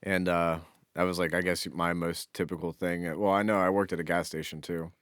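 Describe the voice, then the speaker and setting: Very deep-voiced man chatting casually. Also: little pitch movement, fairly quick, fairly bright tone, smooth, no echo, clean studio sound. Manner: informal, swears casually. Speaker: podcast co-host. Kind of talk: casual chat.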